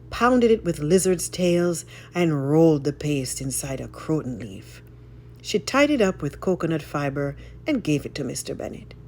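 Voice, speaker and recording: Highly animated low-voiced woman storyteller. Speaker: storyteller reading a folk tale aloud. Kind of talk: expressive scripted story narration.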